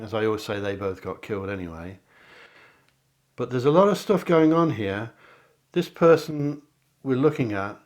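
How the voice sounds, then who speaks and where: A man giving a card reading straight to the viewer, repeating phrases for emphasis as a psychic host. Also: mid-range voice. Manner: repeats phrases for emphasis, addresses listener directly. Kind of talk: tarot reading addressed to viewers. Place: at home.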